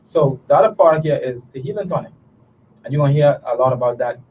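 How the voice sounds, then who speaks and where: A man from the Bahamas with a Bahamian accent, a guest on a talk show pitching his herbal products earnestly, often restarting his sentences. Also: quick pace. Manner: earnest, persuasive, with frequent restarts and fillers. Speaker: guest, natural herbal products business owner. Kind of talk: promotional talk show interview. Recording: distant mic, dull sound, background noise.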